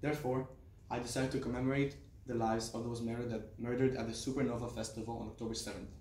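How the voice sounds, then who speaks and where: A man's voice: medium pitch, little pitch movement, fast talker, a little mellow. A young man from Israel, a student giving a prepared personal speech in a small classroom. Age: teenager to young adult. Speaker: student speaker. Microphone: camera on a desk, several metres off. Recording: roomy, distant mic, faint noise.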